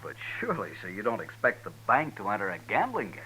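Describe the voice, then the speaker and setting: Flustered banker: fast, lively, stammering, hissy old radio. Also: male voice, on the low side, full character voice, low-quality recording. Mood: genial, doubtful. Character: fussy middle-aged small-town bank president. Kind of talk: 1950s radio drama acting. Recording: hissy vintage radio recording.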